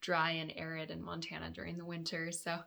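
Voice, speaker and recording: Raspy-voiced woman reading tarot. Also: low-pitched, completely flat delivery, fairly quick, sharp tone. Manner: reflective, meandering guidance addressed to viewers. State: raspy, dry throat. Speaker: tarot reader and yoga therapist. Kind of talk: weekly tarot forecast vlog.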